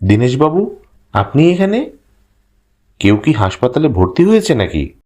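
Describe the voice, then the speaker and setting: A man's voice: medium pitch, very animated, measured pace, darkish tone. A man reading scripted, dramatic first-person narration for a horror cartoon. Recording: clear music bed behind narration.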